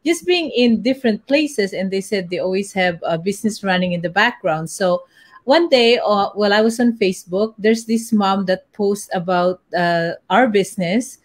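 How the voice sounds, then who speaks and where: A woman from the Philippines, a guest on a live video talk, chatting in a lively casual way. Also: medium pitch, moderate pace. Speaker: guest, business owner. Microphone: video call.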